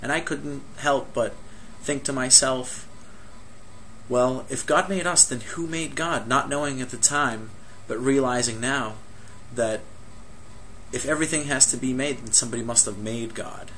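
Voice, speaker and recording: A young man from Egypt tells his own story in a measured, earnest voice of medium pitch. He often slows down and leans on rhetorical questions. A faint hiss sits under the recording. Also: fairly bright tone, rough recording. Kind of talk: personal testimony monologue.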